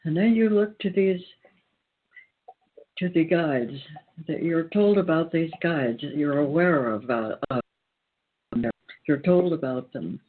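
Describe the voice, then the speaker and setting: A man with a fairly high voice talks very slowly over a tinny, phone-like connection. He speaks earnestly about spiritual matters, pausing and restating phrases for emphasis. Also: slightly rough. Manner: deliberate, emphatic repetition with pauses. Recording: tinny, phone-like band-limited sound.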